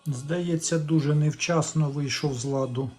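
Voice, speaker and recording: A man gives casual step-by-step repair commentary as a hobbyist, thinking aloud in an unhurried, tentative way. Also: a little high, fairly even intonation, a little mellow, soft background music. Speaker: hobbyist repairer presenting a DIY video.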